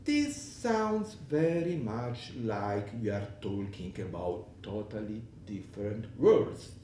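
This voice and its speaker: A man's voice: on the low side, expressive, slow, warm tone, a little hiss or hum. A man with an Italian accent speaking English as a second language, giving a conference panel talk with many restarts and fillers. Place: lecture hall. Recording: echoey hall, distant mic.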